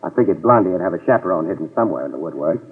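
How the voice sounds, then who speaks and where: An actor playing a tough, sardonic private eye in a 1950s radio drama, trading dry wisecracks. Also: male voice, low-pitched, expressive, very fast, breathy edge. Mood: wisecracking. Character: wisecracking hard-boiled male private eye. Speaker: private investigator and narrator. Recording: tinny old radio broadcast with music, mic at a distance.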